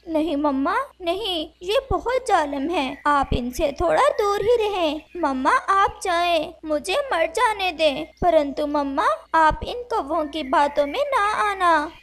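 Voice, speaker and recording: Woman as a tiny high-pitched firefly boy, pleading. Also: animated, slow pace, few pauses, fairly bright tone, exaggerated voice. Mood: brave, distressed. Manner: plaintive, pleading. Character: small captive young firefly boy, pleading, brave.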